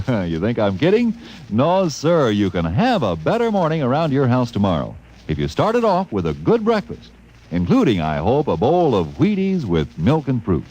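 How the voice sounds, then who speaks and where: A man's voice: mid-range voice, huge pitch swings, moderate pace, dark tone. A man delivering an old-time radio commercial as announcer, with folksy, exuberant salesmanship. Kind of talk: 1950s radio advertising pitch.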